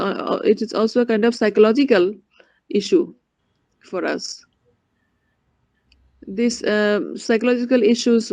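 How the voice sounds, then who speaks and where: Bangladeshi woman lecturer, mid-pitched, halting delivery, muffled dry sound. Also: darkish tone, no echo. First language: Bengali. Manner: halting, with frequent restarts and spelled-out terms. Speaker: university teacher. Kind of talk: classroom lecture. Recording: dull, dry lo-fi recording.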